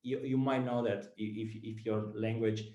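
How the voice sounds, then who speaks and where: A man from Italy with an Italian accent, a startup founder giving a talk to students, speaking haltingly with many fillers and repeated words. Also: on the low side, flat delivery, dark tone, echoey room, dull audio.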